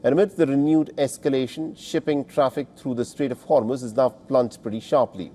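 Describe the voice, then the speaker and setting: A man's voice: medium pitch, fairly even intonation, a little fast, warm tone. A man reading scripted news narration as a presenter, in a formal, emphatic delivery.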